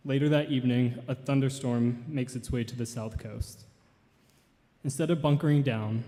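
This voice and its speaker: A man in his twenties, a grandson giving a memorial tribute and reading a poem in an earnest, measured way. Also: medium pitch, dark tone. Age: 20s. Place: large church hall. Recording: long hall reverb.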